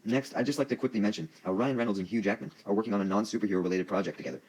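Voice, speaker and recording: A man's voice: lowish voice, rapid-fire, darkish tone, rough recording. A man giving casual, opinionated news commentary as an online host, in informal language with some swearing.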